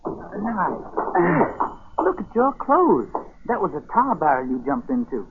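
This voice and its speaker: An actor playing an eager, helpful colonial apprentice boy in a 1940s radio drama, with a husky voice. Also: fairly high pitch, huge pitch swings, slow, noisy background, full character voice. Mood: curious, amused. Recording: muffled telephone-like 1940s radio recording.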